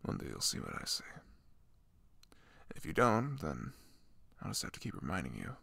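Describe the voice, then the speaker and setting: A man playing a shy, sweet young best friend in an ASMR roleplay, talking gently and hesitantly, close to the mic. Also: low-pitched, huge pitch swings, normal speed, gravelly, slightly rough, no echo, exaggerated voice. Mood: tender. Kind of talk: ASMR roleplay, soft intimate comforting talk. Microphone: close microphone.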